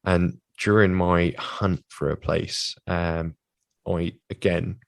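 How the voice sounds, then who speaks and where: A young British man, a physiotherapist, answering interview questions in a measured way, with many fillers and restarts. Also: sits low, little pitch movement, creaky, no echo. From United Kingdom. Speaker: guest, physiotherapist.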